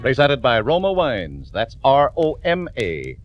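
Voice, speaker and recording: A man reading sponsor announcements with deliberate, grand emphasis in 1940s radio style. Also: medium pitch, huge pitch swings. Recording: muffled old radio recording, faint music.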